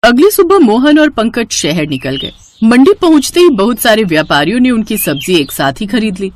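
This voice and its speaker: A woman's voice: fairly high pitch, sing-song, moderate pace, slight background noise. A woman narrating a scripted moral story as its storyteller.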